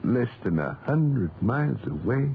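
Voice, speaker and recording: An actor playing a kindly, talkative old English gentleman gardener who mutters to himself, in a 1940s radio drama. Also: mid-range voice, big pitch swings, measured pace. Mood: genial, musing. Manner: rambling, muttering to himself and to things. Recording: muffled noisy 1940s radio recording.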